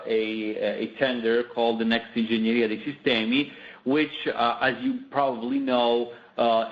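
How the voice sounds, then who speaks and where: A man speaking as a company executive, answering analysts off the cuff in a hesitant, wordy way full of asides. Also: medium pitch. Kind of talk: spontaneous business explanation. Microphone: conference call line. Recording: slightly muffled conference call audio.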